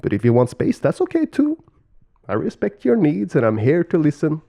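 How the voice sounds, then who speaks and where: A man's voice: a little high, huge pitch swings, moderate pace, vocal fry, warm tone, pitched above the performer's own voice, full character voice. A man voicing a kind, earnest male turkey who is eager to please in a storybook tale. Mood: tender, eager.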